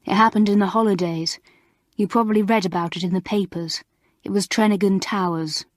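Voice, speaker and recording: A woman voicing a sturdy, contemptuous schoolgirl who talks loudly and bluntly. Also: mid-range voice, a little fast, no room sound, studio quality, natural voice. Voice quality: loud, flat, blunt.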